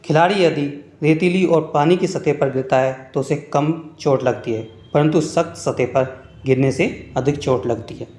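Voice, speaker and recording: A man's voice: on the high side, normal speed, a little mellow. A man narrating a scripted lesson as an exam-prep teacher, reading out points one by one in an instructional way. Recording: echoey room.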